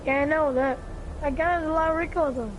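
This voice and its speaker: Casting: high-pitched casual gamer, calling plays to teammates over a noisy mic. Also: fairly even intonation, fairly quick. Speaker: video game player talking with teammates. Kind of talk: casual multiplayer gaming chatter, callouts to teammates. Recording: clearly noisy gaming mic recording.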